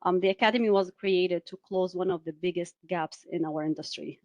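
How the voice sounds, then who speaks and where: A woman from Syria in her forties gives a webinar talk over a video call. Her voice sits a little low, and the sound is dry and close, with no room echo. She speaks in a measured way, with frequent "uh" and "um" fillers. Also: a little mellow. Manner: measured, many "uh" and "um" fillers, asks for next slide. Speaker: webinar presenter. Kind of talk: presenting a webinar.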